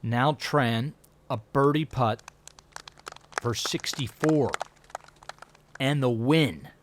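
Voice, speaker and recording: A man doing play-by-play commentary for a televised golf broadcast, measured and steady. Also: mid-range voice, slight background noise. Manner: measured, builds up excitement when announcing a winner.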